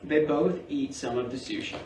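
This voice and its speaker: A man's voice: medium pitch, moderate pace, a little mellow. A man reading out stage directions as scripted narration at a staged play reading. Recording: distant, echoey, faintly noisy staged reading.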